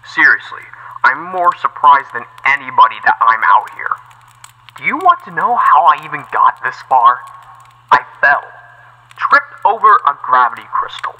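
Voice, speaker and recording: A man's voice: medium pitch, very animated, moderate pace, dull audio, close to an everyday voice. A man voicing a timid, friendly alien astronaut archaeologist with a hesitant, rambling, awkward way of talking, as fan-made game lines. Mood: nervous, sheepish.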